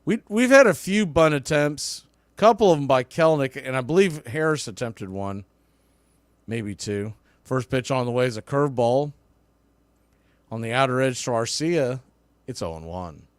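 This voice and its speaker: A man doing live baseball radio play-by-play in short, clipped phrases, rising to excitement when the action calls for it. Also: mid-range voice, moderate pace, no echo. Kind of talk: live sports radio commentary.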